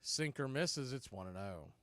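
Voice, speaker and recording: A man doing live baseball play-by-play, mixing clipped pitch calls with conversational asides about other games. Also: animated, unhurried, fairly bright tone, no room sound. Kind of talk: live sports play-by-play commentary with scoreboard asides.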